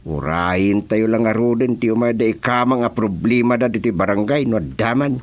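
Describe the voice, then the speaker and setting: A man's voice: lowish voice, moderate pace, lightly acted. Man acting as an Ilocano village chairman. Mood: puzzled. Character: male village chairman, reacting with drawn-out exclamations. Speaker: actor in a radio serial. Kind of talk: Filipino radio comedy-drama acting.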